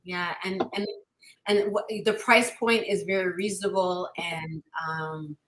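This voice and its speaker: A woman's voice: on the low side, fairly even intonation, a little slow, fairly bright tone, reverberant, poor audio. A woman hosting a podcast interview, asking questions in a casual, chatty way. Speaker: podcast host.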